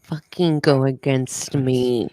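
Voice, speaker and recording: A woman's voice: very deep voice, fairly even intonation, slow, mellow, no room sound, poor audio. A woman co-hosting a comedy show, chatting casually and playfully with the group.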